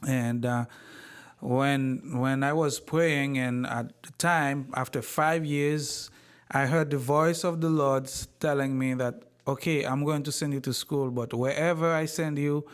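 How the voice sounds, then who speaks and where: A man from Haiti, a university president, telling his life story in an interview in a slow, measured way with frequent pauses and repeated words. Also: medium pitch, steady tone, clear, resonant tone.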